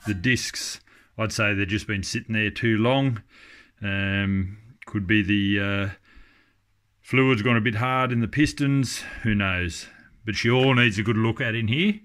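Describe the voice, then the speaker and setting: A middle-aged man talking casually through a car restoration in his home garage, thinking aloud with many pauses and ums. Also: lowish voice, fairly bright tone. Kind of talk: casual workshop commentary. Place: home garage workshop, indoors.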